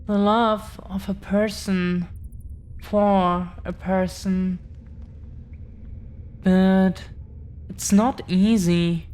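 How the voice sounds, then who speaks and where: A woman playing a tender, devoted young dragon-descended girlfriend in intimate roleplay audio, speaking haltingly and earnestly, repeating phrases. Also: medium pitch, a little mellow.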